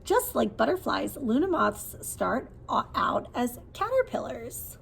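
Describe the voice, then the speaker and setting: A woman with a fairly high voice and a noticeable creak reads a children's book aloud in the manner of a preschool teacher. She is expressive and leaves room after questions for young listeners. There is some faint noise behind her voice. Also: low-quality recording. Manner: expressive, asks questions and pauses as if to small children.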